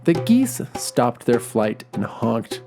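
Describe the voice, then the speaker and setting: Slow, lively storyteller man. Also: medium pitch. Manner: expressive, gentle reading. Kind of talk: scripted children's story narration. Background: music.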